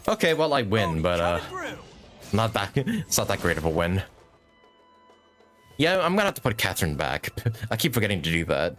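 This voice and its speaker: A man's voice: on the low side, wildly swooping pitch, normal speed, long pauses, fairly bright tone, soft background music. A man chatting casually as a live game streamer, rambling and thinking aloud with some mild swearing. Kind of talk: casual gaming stream commentary.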